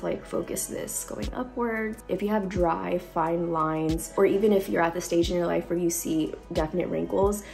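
A young woman filming a casual makeup tutorial at home on her phone, chatty with lots of likes and ums, her voice a little deeper from a cold. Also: a little mellow. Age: young adult. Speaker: beauty vlogger. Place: bright room at home. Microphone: phone camera at close range.

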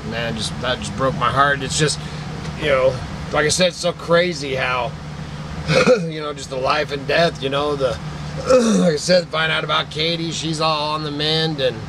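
A man's voice: fairly high pitch, moderate pace, noisy background. A man in his forties from Idaho, rambling and sentimental, showing thrift finds to a desk webcam in a cluttered indoor shop. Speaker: vlogger, antique picker. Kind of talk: casual chat to camera.

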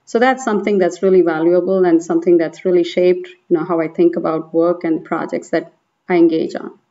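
A woman's voice: fairly low pitch, fairly even intonation, a little fast, mellow. Woman panelist, slightly muffled call audio. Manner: fluent, explanatory. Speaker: panelist, planner. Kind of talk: casual panel talk. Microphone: video call.

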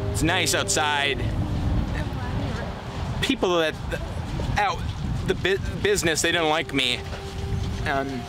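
A young man in a comedy sketch monologue, talking in a whiny, rambling, self-pitying way. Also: a little high. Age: young adult. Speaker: sketch comedian playing a hapless worker. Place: outdoors on a lake beach.

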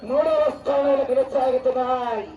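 A man giving excited, exclamatory live sports commentary over an outdoor public address. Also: very high voice, normal speed. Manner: excited, exclamatory, calling out times and cheering on competitors. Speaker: live announcer at a rural bullock-pulling contest. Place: outdoor event ground. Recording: distant, echoing outdoor PA, faint crowd. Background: faint crowd.

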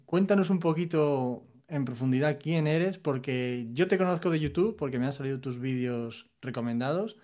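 A man's voice: a little high, a little mellow. A man in his thirties with a Spain Spanish accent, co-hosting and asking questions with many "eh" fillers. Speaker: podcast co-host. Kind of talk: interview questions. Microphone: headset on video call.

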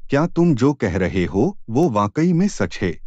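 A man's voice: mid-range voice, big pitch swings, unhurried, darkish tone, no echo, lo-fi sound. A man voicing a poor, timid woodcutter in a cartoon tale, humble and pleading.

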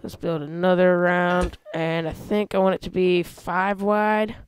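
A man hosting a casual gameplay video, talking through how he builds a vehicle, with excited yelps when he drives it. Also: high voice, measured pace, slight background noise. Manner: chatty, explains while building, excited yelps when driving. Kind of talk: casual let's-play commentary.

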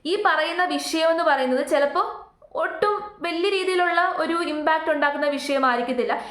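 A woman's voice: extremely high pitch, moderate pace, a bit bright. A woman giving opinionated reaction commentary, emphatic and fond of rhetorical questions. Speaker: YouTube commentator. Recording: roomy, echoing sound.

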